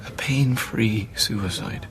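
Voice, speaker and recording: A man's voice: on the low side, takes it slowly, crowd noise, low-quality recording. An actor with an Irish accent playing a wry, resolute man in a radio play, informal and decisive in manner. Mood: weary, firm. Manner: informal, dryly joking, decisive. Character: wry, resolute Irish man who has chosen to die.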